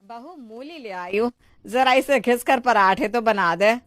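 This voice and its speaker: Woman acting as stubborn older mother-in-law, bossy, whining, exclamatory, fairly high pitch, dry close recording, moral story. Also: a little slow, fairly bright tone, no room sound. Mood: insistent. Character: stubborn, greedy older mother-in-law who loves food.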